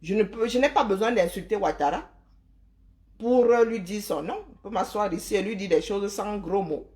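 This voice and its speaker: A woman's voice: medium pitch, moderate pace, a little mellow. A woman from Cameroon, hosting a live stream and lecturing her viewers emphatically, with rhetorical questions and tag words like "hein". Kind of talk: opinionated live-stream monologue.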